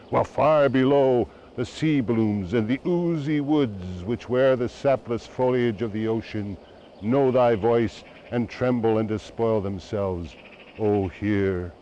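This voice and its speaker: A man giving a dramatic poetry recitation in a solemn, declamatory manner with deliberate phrasing. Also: mid-range voice, mellow, muffled recording, a little hiss or hum. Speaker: poetry reader.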